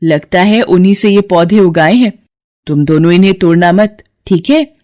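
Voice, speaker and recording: A woman's voice: on the low side, normal speed, a little mellow, close to an everyday voice. A woman voicing an emotional village mother in a dramatic, exclamatory way for a Hindi cartoon moral story. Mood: excited. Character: Mala, emotional village wife and mother, proud then desperate.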